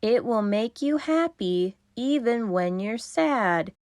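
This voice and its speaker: A woman voicing a kindly male tortoise in a storybook, speaking in a slow, drawn-out manner. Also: on the high side, expressive, darkish tone, no room sound, studio quality. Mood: slow, dreamy.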